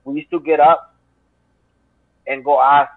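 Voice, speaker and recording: A man's voice: a little high, little pitch movement, unhurried, vocal fry, no echo. A man in his thirties from Central America, with a Spanish accent, telling his own story as an interview guest in an earnest, rambling way full of fillers. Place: home room. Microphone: video call. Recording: tinny phone-like call line.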